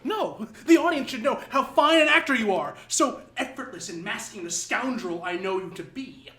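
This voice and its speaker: A vengeful, deranged old rival of the stage. He declaims in grand, sarcastic phrases that swing widely in pitch. The sound is roomy and echoing. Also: animated, normal speed, sharp tone, full character voice. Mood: ranting, accusing. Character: bitter, deranged washed-up male actor, theatrical and vengeful. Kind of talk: live radio drama acting. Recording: roomy echo of a live studio stage.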